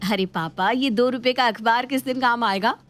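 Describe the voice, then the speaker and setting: A woman voicing a cheeky young daughter-in-law in an animated moral story, explaining things with mock-polite innocence. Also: fairly high pitch, normal speed, natural voice. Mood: mock-innocent.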